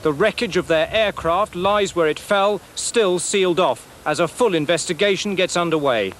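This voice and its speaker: High-pitched British TV reporter, noisy background. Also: male voice, normal speed, a bit bright. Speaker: television news reporter. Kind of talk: scripted news narration.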